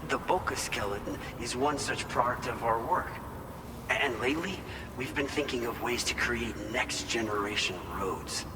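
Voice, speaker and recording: A man's voice: mid-range voice, moderate pace, lightly acted. An actor playing an earnest, grateful male research facility staffer in a video game, explaining things a little hesitantly. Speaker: facility staffer at a distribution hub. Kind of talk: scripted game cutscene dialogue. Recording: echoey hall, clear vehicle-like noise.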